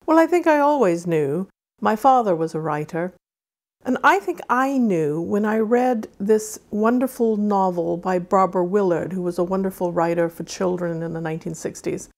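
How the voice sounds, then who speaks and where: A woman's voice: mid-range voice, normal speed, a little mellow. A woman author with a mid-Atlantic accent, from Wales and Arizona, speaking about and reading her fiction. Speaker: author reading and discussing own novel.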